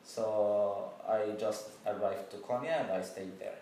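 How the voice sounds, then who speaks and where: A young man from Syria, answering interview questions slowly, pausing and trailing off. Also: lowish voice, warm tone. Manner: slow, halting, trailing off. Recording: echoey room, distant mic, faint noise.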